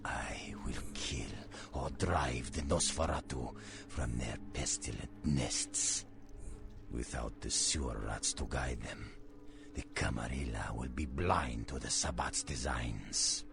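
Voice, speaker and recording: Ancient vampire monster, abyssal gravelly voice. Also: male voice, a bass voice, music in the background, heavily acted. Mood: cold menace. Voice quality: gravelly, breathy whispering rasp. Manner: slow, theatrical, menacing, archaic formal phrasing. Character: ancient, sinister, cultured male vampire monster.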